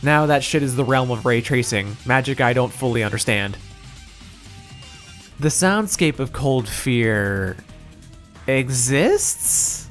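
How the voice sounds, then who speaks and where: A man's voice: mid-range voice, lively intonation, unhurried, a bit bright. A man narrating a scripted video game review in a wry, opinionated, casually profane manner, as the reviewer himself. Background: music.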